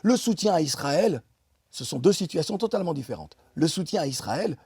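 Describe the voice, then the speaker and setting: A middle-aged man from France, a guest in an interview, speaking emphatically about politics with many pauses and restarts. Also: a little high, very animated, a little mellow, husky, no echo, clean studio sound. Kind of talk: impassioned political interview talk.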